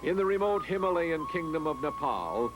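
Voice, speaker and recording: A man's voice: fairly high pitch, big pitch swings. Formal male narrator over music. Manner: measured, formal announcer delivery. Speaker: documentary narrator. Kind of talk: scripted narration.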